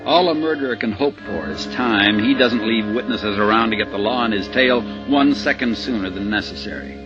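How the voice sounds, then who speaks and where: An actor in a 1950s radio drama playing a steady, wry middle-aged husband, with a terse, hard-boiled way of telling it. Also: male voice, on the low side, normal speed, over music, lightly acted. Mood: tense narration. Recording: dull old radio recording.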